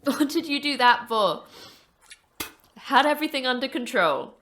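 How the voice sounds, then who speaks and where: An actress playing a terse, sardonic manager of a secret polar facility in an audio drama, with a dry, curt delivery. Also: female voice, high voice, animated, a little slow, a bit bright, a little hiss or hum. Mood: irritated. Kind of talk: audio fiction acting.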